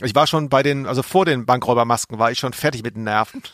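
A man's voice: medium pitch, quick pace, a bit bright, dry recording, clean studio sound. A man co-hosting a podcast in casual, humorous chat, rambling through conversational asides. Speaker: podcast co-host.

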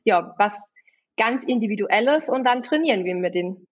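A woman's voice: medium pitch, animated, moderate pace, dull audio. A woman, a guest in a podcast interview, chatty and giggly, with a bit of a cold. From Germany, Saarland. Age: 20s. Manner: giggly, chatty, many fillers. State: has a cold. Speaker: guest, wedding officiant.

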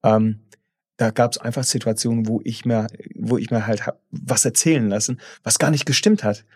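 A man's voice: mid-range voice, fairly even intonation, moderate pace, a little mellow, breathy edge, dry recording. Blunt man co-hosting a podcast, dry sound. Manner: blunt, repeats words when restarting. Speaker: podcast co-host. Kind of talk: casual chat.

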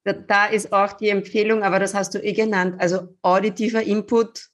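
A woman's voice: mid-range voice, flat delivery, brisk. Brief: woman host, language coach, explains patiently in casual talk. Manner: explanatory, affirming. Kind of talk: casual conversation.

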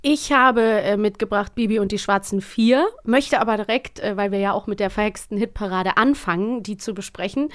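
A woman's voice: medium pitch, normal speed, hardly any pauses, no echo, clean studio sound. A woman co-hosting a podcast in an upbeat, enthusiastic casual chat. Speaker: podcast co-host.

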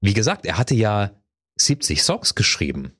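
A man hosting a music talk, explaining in a relaxed way and often agreeing. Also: on the low side, moderate pace. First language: German. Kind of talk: conversational discussion.

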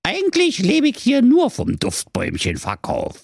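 A man voicing a grumpy, sly evil dwarf who runs a gas station, in a very high, grumbling voice with Berlin slang. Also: huge pitch swings, normal speed, vocal fry, slightly rough, no room sound, clean studio sound, exaggerated voice.

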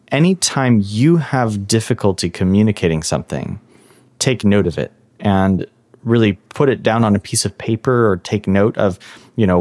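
A man speaking as an interview guest who shares his advice. He has a somewhat low voice and explains things in a thoughtful, methodical way. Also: darkish tone. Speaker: guest, language learning expert. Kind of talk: interview answers.

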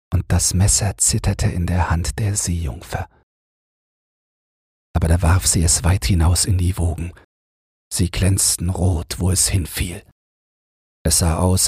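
A man narrating a bedtime fairy tale as an audiobook, in a calm, gentle and measured manner. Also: deep voice, breathy edge, no echo, studio quality.

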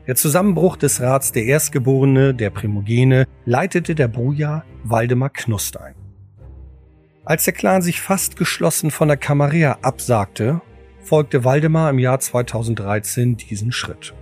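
A man reading scripted audio drama narration in a measured, solemn storytelling manner. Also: medium pitch, expressive, darkish tone. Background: music.

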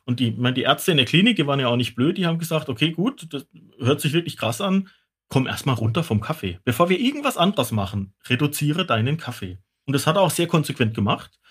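A man's voice: on the high side, big pitch swings, fast talker, husky. A man speaking as an expert podcast guest, explaining things as casual storytelling.

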